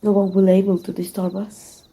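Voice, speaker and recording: Mellow, teasing villainess played by a woman. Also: mid-range voice, flat delivery, normal speed, lightly acted, low-quality recording. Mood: flirtatious, intimate. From Mexico. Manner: teasing, intimate, assured. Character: flirtatious, confident female villain secretly fond of her rival. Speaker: amateur voice actor. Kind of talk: ASMR roleplay, soft intimate acting.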